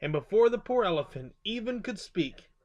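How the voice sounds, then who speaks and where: A storyteller giving an expressive children's book read-aloud, with casual asides. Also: mid-range voice, moderate pace, highs cut off.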